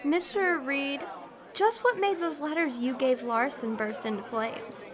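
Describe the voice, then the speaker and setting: Woman as a high-voiced, curious accomplice. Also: unhurried. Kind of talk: radio drama acting. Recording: crowd murmur behind the voice.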